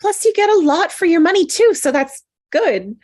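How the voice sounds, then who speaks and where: A woman's voice: very high-pitched, very animated, normal speed, a little mellow. A woman playing a frustrated, awkward bridesmaid in a comedy screenplay read, rambling and exasperated. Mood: eager to please. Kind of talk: screenplay table read acting.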